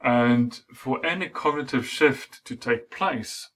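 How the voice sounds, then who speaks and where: A middle-aged man, a native Swedish speaker, giving an academic lecture in a measured way with frequent fillers. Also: medium pitch, a bit bright.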